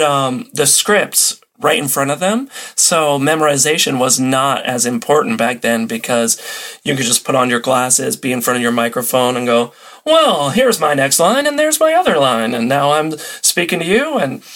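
A man chatting casually as a podcast guest, animated and given to acting out little quotes and sound effects. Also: fairly high pitch. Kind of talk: casual chat.

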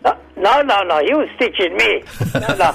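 An older man, an entertainer guest, reminiscing in casual chat with a hoarse, weakened voice and halting, rambling speech full of restarts. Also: high voice, lively intonation, a bit bright, soft background music, poor audio.

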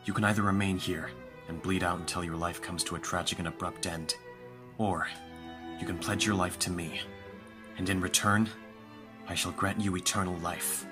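A man playing a composed vampire lord in an audio roleplay, speaking formally and with calm authority to a servant. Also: low voice, moderate pace, long pauses, natural voice. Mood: commanding. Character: lordly young-sounding male vampire master, calm and commanding. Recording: music behind the voice. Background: music.

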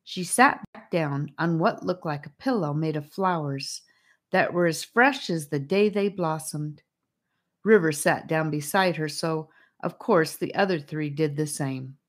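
Female audiobook narrator, low register, scripted storytelling for a children's fantasy. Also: measured pace.

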